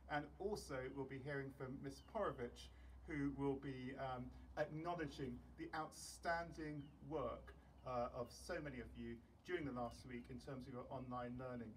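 A middle-aged British man, a headmaster, giving an informal address to camera in a halting, measured way. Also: medium pitch. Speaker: school headmaster. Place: outdoors in a large open school courtyard. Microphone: camera a few metres away. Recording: distant outdoor mic with background noise.

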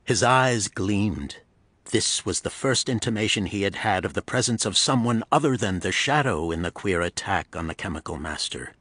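Low-pitched male thriller narrator, dry sound. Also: animated, normal speed, fairly bright tone, dry recording. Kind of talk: scripted pulp thriller narration.

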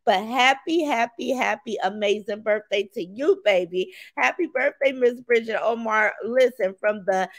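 Brash raspy woman hosting a livestream. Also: on the high side, normal speed, hardly any pauses, dry recording. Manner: brash and profane, reads chat names aloud. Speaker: livestream host. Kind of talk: casual livestream chat.

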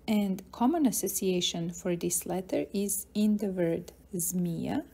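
A young woman with a Serbian accent teaching a language lesson in a deliberate, explanatory manner. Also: mid-range voice, darkish tone, husky. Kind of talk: instructional lesson narration.

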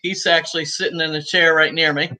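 A woman in her sixties, talking as a guest on a video call from home, chatty and easygoing and quick to laugh. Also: sits low, steady tone, sharp tone. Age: 60s. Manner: talkative and easygoing, laughs often. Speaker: guest, cigar shop owner. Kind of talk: casual interview chat. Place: room at home.

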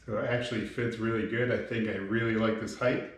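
A man's voice: on the low side, fairly even intonation, fairly quick, a little hiss or hum. Man vlogging in an echoey garage. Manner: informal, explains step by step. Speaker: motorcycle builder and video host. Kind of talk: casual how-to vlog narration while working. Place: home garage with hard floor. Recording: roomy, echoing garage sound.

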